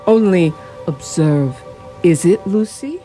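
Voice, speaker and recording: Woman playing a solemn, slow-spoken male vampire hunter. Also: fairly low pitch, lively intonation, mellow, soft background music. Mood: solemn, urgent. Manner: formal, deliberate, inverted foreign-style phrasing. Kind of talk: radio drama acting.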